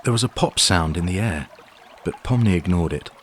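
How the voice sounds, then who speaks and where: A man's voice: low voice, animated, moderate pace, heavy background noise. A man narrating a scripted fantasy story for an audio drama.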